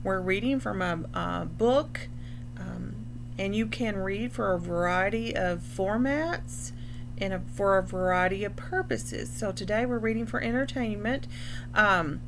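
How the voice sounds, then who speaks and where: A woman's voice: medium pitch, noisy background. A woman speaking as a school librarian in an instructional read-aloud for young children, explaining things as she goes. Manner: explanatory, pausing to define words. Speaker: school librarian reading a picture book aloud.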